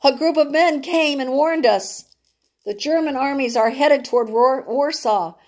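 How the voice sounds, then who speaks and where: An energetic, blunt brother from an audiobook tale, read by a woman. His delivery is urgent and emphatic, that of someone raising an alarm. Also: sits high, a little slow, voice pushed up.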